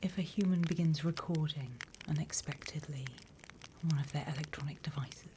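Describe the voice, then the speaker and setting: A British woman voicing a prim demon teacher in a soft, deliberate whispered ASMR roleplay. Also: deep voice, slight background noise, natural voice. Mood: patient, instructive. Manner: soft, deliberate, instructional, with pauses. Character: female demon teacher, softly menacing, encouraging, prim.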